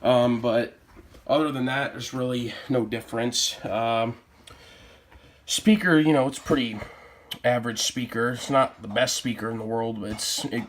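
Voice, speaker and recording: A man's voice: medium pitch, measured pace. A man with an American accent casually presenting items from his hobby collection, as in a home product show-and-tell.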